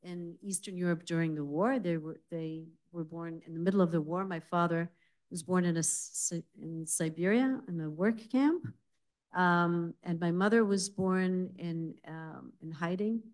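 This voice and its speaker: A woman from the United States, speaking calmly on a discussion panel about her family. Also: on the low side, a little slow. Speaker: panelist, documentary maker. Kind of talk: personal account in a panel discussion.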